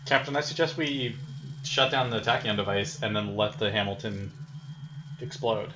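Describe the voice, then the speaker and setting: A man's voice: lowish voice, steady tone, moderate pace, bright, forceful tone, rough recording. A man chatting casually as a player in a tabletop role-playing game, questioning and matter-of-fact. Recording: clear background noise with ambience.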